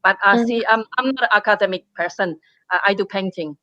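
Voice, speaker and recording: A woman from Taiwan, a painter speaking on a panel in halting English with many fillers and restarts. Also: mid-range voice, pushed and bright, no room sound, lo-fi sound. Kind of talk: panel discussion.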